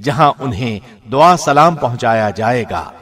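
A man reading a scripture translation from a script in a formal, solemn, measured manner. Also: medium pitch, animated, a bit bright. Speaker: translation reader, radio host.

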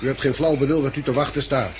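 A man's voice: medium pitch, steady tone, fast, lightly acted. An actor in a 1960s radio drama playing a practical, plain-spoken male survivor who argues bluntly. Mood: blunt, challenging. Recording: noisy old 1960s radio recording.

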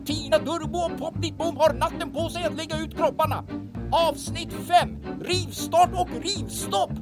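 A woman's voice: very high-pitched, fairly even intonation. A woman reading a dramatic scripted recap as the narrator of a serial. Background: prominent music.

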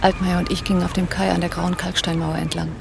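An actress playing a cool, observant female partner of an arms dealer, narrating a radio drama in a measured, descriptive way. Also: lowish voice, level tone, creaky, background noise. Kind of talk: literary first-person narration and dialogue in radio drama.

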